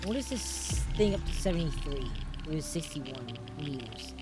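A streamer with a voice prone to cracks, talking rambly through a horror game with many fillers. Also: female voice, low-pitched, lively intonation, moderate pace, over loud music. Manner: rambling, filler-heavy, jumpy. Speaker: gaming streamer. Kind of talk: let's play commentary.

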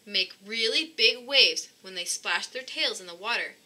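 Female picture-book reader, expressive and child-directed, crisp forceful brightness, animated intonation. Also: mid-range voice, moderate pace. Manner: expressive, addressing child listeners. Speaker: storybook reader. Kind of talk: children's read-aloud narration.

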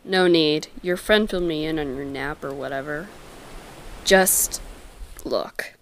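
A woman voicing a guarded, sardonic merperson from the deep sea, dry and teasing, in a dramatic comic dub. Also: measured pace, slight background noise. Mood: hurt, guarded.

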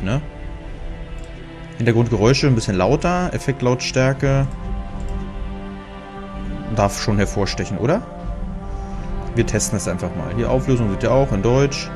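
A man from Germany casually commenting on a game he is playing, rambling and breaking off with fillers as he talks through the menus. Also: lowish voice. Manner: chatty, rambling, self-interrupting with fillers. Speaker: let's play host. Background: game music.

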